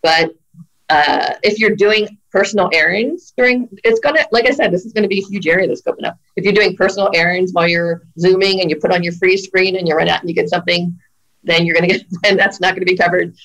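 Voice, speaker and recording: A woman's voice: on the low side, quick pace, a bit bright, rough recording. A middle-aged woman lawyer on a video call, giving earnest, emphatic advice in casual conversation. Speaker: employment lawyer guest. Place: office with brick wall. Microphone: video call webcam.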